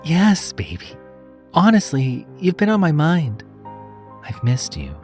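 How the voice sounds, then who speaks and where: A seductive shapeshifter in the form of a cruel woman, acted out by a man. The voice is lifted high and is coy and taunting, as in a horror tale, with clear music playing behind it. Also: huge pitch swings, slow pace, a little mellow, heavily acted. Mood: sweet, flirtatious. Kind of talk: horror story character voice.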